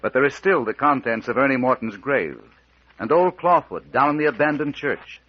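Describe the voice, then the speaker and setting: A man's voice: mid-range voice, animated, normal speed. Dramatic male radio narrator, muffled recording. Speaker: serial narrator.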